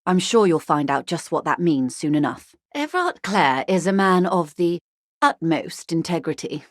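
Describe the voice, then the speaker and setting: Game role: poised upper-class female negotiator, played by a woman, lower register, formal, measured, faintly arch, dry studio sound. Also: expressive, no echo, lightly acted. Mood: wry, arch. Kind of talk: video game dialogue acting.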